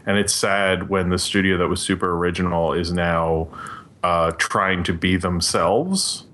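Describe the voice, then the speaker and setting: Male podcast panelist, deep register, conversational and halting, thinking aloud. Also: steady tone, a bit bright, a little hiss or hum. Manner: hesitant, trails off mid-thought. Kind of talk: casual panel chat about films.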